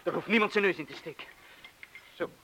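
An actor with a Flemish accent playing an anxious, protective man in a radio drama, speaking haltingly and urgently. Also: high voice, sharp tone. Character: anxious, protective man urging his lover to leave. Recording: hissy old radio play recording.

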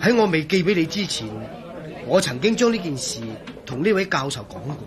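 An animated, higher-voiced male engineer. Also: brisk, crowd noise. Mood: cooperative. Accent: Hong Kong Cantonese. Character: earnest, regretful male engineer in charge of waterworks. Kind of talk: radio drama acting.

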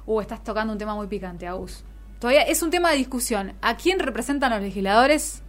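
A woman's voice: a little high, lively intonation, quick pace, faint music behind. A woman with an Argentine accent hosting an informal political radio show in a playful, teasing way, fond of rhetorical questions. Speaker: radio host.

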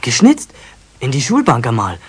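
A male actor playing a haughty, work-shy teenage boy with a husky voice and Bavarian accent, offhand and cheeky in a radio play. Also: medium pitch, huge pitch swings, normal speed. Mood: reluctant, haughty. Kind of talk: 1970s German children's radio play acting.

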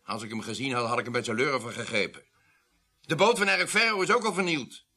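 A man acting in a radio play as an angry, rough village boatman, aggrieved and forceful. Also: medium pitch, expressive, fast, exaggerated voice. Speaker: actor in a radio drama.

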